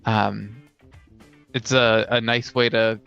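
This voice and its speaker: A man chats casually about tabletop gaming as a co-host. His voice is very smooth and stays quite flat in pitch, and the recording is a little muffled, with soft music behind it. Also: slow. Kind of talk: casual discussion of tabletop role-playing games. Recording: dull lo-fi sound, music underneath.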